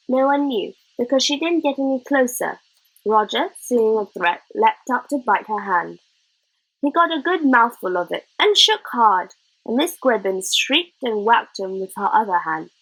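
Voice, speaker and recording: A woman reading a children's novel aloud as narrator, in an expressive storybook style. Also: a little high, rough recording.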